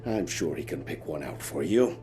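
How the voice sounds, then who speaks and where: An actor playing a pompous, sardonic, grumbling prison warden in a fantasy video game. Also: male voice, extremely low pitch, animated, moderate pace, mellow, faint music behind. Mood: grudging, wry.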